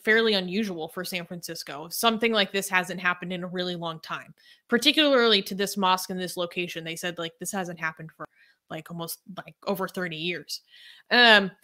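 A woman's voice: medium pitch, sharp tone. A young woman talking through a news story casually, hesitant with many ums and likes, on a video call from home. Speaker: co-host.

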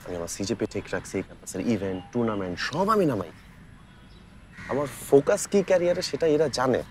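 A man's voice: mid-range voice, sing-song, fast talker, a little mellow, a little hiss or hum, lightly acted. A young man with a Bangladeshi accent acting a self-centred, cocky student leader in a short-film drama, defensive and slangy, dropping in English. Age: young adult.

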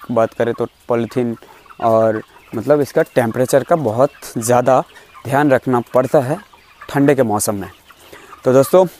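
A young man from India explaining farming to camera in an informal, halting way, often repeating phrases. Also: mid-range voice, a little mellow. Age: young adult. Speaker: poultry farming vlogger. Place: large bamboo poultry shed full of chickens. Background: chickens clucking.

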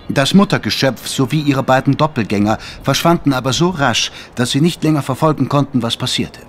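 A male narrator tells a dramatic story in scripted prose. His voice sits at a middle pitch, with music clearly playing behind it.

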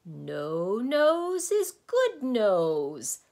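Lady doing a loud weepy smoke monster guy from a kids storybook, way over-excited, voice pitched way up and all over the place. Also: slow pace. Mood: roaring with laughter. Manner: bellowing, sobbing, over-excited. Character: emotional bluish smog creature, male, boisterous, weepy, shouting.

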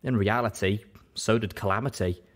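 A man narrating a story in an expressive audiobook style. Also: lowish voice, moderate pace.

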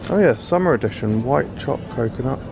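Gravelly British man chatting in a supermarket. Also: mid-range voice, animated, brisk, background noise. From United Kingdom. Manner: chatty, curious, exclaiming over products. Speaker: food vlogger. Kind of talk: casual running commentary while shopping. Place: large supermarket aisles, indoors.

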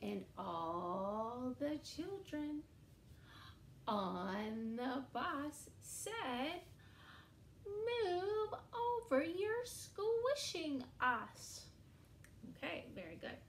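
A woman in her forties leads young children through an animal rhyme with puppets, speaking slowly and in an exaggerated sing-song way. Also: sits high, sing-song, reverberant, slight background noise. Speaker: early years educator. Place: small indoor room against a bare wall.

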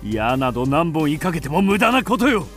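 An actor playing a mysterious, fearless undead avenger in a radio drama, speaking in a grim, theatrical, archaic manner. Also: male voice, fairly high pitch, very animated, fairly quick, a bit bright, faint music behind. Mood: fierce, defiant. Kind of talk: Japanese radio drama acting.

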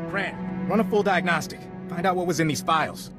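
A man's voice: sits high, a little fast, lightly acted. A man playing a no-nonsense intelligence agent in a video game, clipped and businesslike. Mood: urgent, businesslike. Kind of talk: video game cutscene acting. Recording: game cutscene with loud music.

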